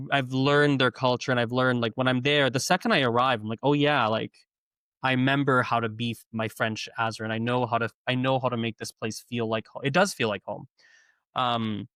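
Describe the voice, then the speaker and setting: Male podcast guest, mid-pitched, easygoing and chatty, dry close-miked sound. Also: fairly bright tone, clean tone, no room sound. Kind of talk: casual interview chat.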